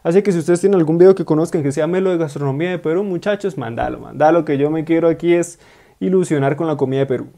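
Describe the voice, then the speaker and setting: A young man, chatting excitedly in slang as he reacts to a video in a small room, speaking into earphones. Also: on the high side, fast, vocal fry. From Colombia. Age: 20s. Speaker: YouTube reactor. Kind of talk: casual reaction commentary. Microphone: wired earphone mic.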